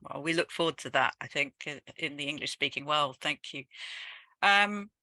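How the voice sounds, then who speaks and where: A woman's voice: low voice, moderate pace, bright, forceful tone, no room sound. A woman hosting an online lecture and moderating the questions through a headset, speaking politely and formally. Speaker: host of the society's lecture. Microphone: headset on a video call.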